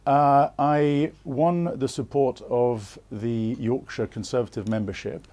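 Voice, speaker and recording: Male interviewee, warm mellow timbre, earnest and insistent, hesitant delivery. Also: medium pitch. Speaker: interviewee, former political candidate. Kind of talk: live political TV interview.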